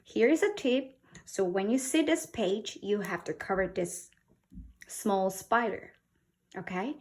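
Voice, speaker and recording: A woman from South Korea reading a picture book to young children as a teacher, with simple, expressive talk and questions. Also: medium pitch, slow. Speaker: children's English read-aloud teacher.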